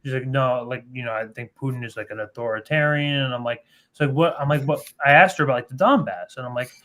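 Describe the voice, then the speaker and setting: A man's voice: mid-range voice, sharp tone. A man in casual political chat, restarting often and peppering his sentences with "like". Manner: repetitive, halting, heavy use of "like". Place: online group call.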